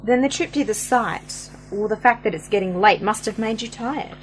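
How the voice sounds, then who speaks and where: A woman's voice: mid-range voice, normal speed, slight background noise, lightly acted, low-quality recording. A woman playing a teasing young companion to her uncle in an amateur radio play. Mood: tired, evasive.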